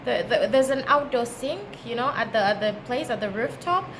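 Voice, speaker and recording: Kenyan woman vlogging, high voice, noisy recording. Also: expressive, normal speed. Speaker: travel vlogger. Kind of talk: casual chat to camera. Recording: clearly noisy background ambience.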